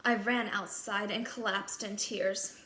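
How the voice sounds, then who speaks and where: A woman's voice: mid-range voice, steady tone, a little slow, bright, forceful tone. A woman narrating a scripted children's fairy tale in the first person, in character as a princess. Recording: echoey room, clear background noise.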